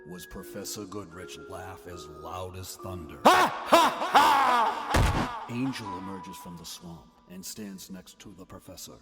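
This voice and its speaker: A man's voice: sits low, fairly even intonation, measured pace, in a large hall, over music. A man giving dramatic scripted narration as the narrator of an audio story.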